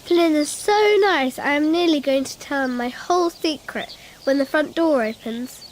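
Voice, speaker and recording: Radio play: woman as small imaginative girl, very high childlike voice, earnest and literal-minded narration, slight background noise. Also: normal speed, lightly acted. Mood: chatty, curious. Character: imaginative, earnest little schoolgirl narrating her secret. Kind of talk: radio drama dialogue and narration.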